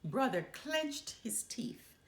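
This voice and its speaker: Female storyteller, expressive children's read-aloud, slow pace, creaky edges, roomy sound. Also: a little high. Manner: expressive, dramatic reading. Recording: roomy echo.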